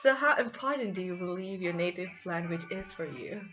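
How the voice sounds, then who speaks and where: Halting woman interviewer, dull lo-fi recording. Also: on the low side, lively intonation, crowd noise. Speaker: student interviewer. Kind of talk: semi-formal interview for a school project. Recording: dull lo-fi audio, faint music.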